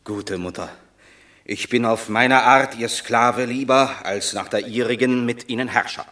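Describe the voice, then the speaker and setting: An actor playing a proud, unbending yet tender Roman war hero in a classical radio drama, grave and declamatory. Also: male voice, mid-range voice, unhurried, pushed and bright.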